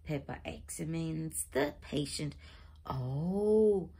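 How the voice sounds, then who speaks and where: A woman reading a children's picture book aloud in a slow, expressive storybook manner. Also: mid-range voice, vocal fry, breathy edge. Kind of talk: read-aloud narration.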